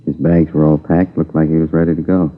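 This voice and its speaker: An actor playing a businesslike police detective, clipped and matter-of-fact, in a 1950s radio crime drama. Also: male voice, rumbling low, little pitch movement, brisk. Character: businesslike male police detective reporting findings. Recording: muffled reverberant 1950s radio recording.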